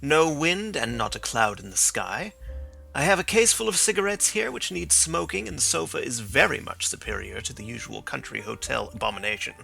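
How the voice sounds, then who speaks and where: A man reading the part of a sharp, confident male detective in a storybook, brisk and assured. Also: medium pitch, expressive, vocal fry, soft background music, heavily acted, rough recording.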